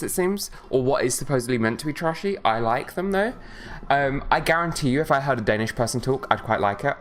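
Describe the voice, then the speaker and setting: Guy streaming, sleepy, rambles and jokes, ums everywhere, mid-pitch voice, bit of music playing quietly behind. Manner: rambling, joking, loose with filler words. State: tired from poor sleep. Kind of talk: casual livestream chat.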